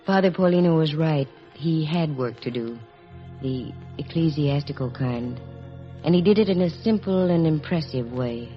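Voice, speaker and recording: An actress playing a sharp, composed female detective who narrates in a brisk, matter-of-fact way, in 1940s radio acting style. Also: low voice, lightly acted. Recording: dull lo-fi vintage radio recording, faint music.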